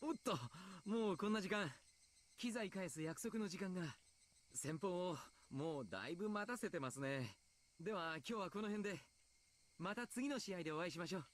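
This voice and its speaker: A man's voice: high voice, expressive, fast talker. A man playing a genial, polite team coach in Japanese anime game style.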